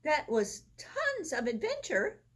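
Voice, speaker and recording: A woman voicing a bright, sociable female animal friend from a children's storybook, with eager exclamations. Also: sits high, huge pitch swings, slow pace, pitched above the performer's own voice, heavily acted. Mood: cheerful.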